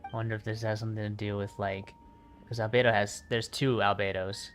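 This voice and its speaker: A man livestreaming a video game, reacting casually and thinking aloud in a halting, musing way. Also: fairly low pitch, level tone, faint music behind. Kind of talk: casual reactions while playing.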